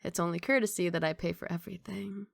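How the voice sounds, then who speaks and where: A woman's voice: on the low side, steady tone, fast talker, vocal fry, dry recording. A woman playing a confident, doting young mafia boss girlfriend in an intimate, teasing ASMR romantic roleplay. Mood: affectionate, assured. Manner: playful teasing, intimate one-sided conversation.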